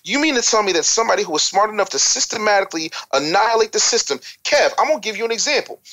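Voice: medium pitch, expressive, very fast, sharp tone. A panelist in an opinionated music-industry discussion, speaking emphatically like a lecturer and throwing out rhetorical questions. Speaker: talk show panelist.

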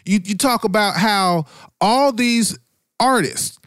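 A man's voice: high-pitched, slow pace, fairly bright tone, clear, resonant tone, no room sound, studio quality. A man on a discussion panel, giving his opinions in a casual, colloquial and emphatic way. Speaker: talk show panelist.

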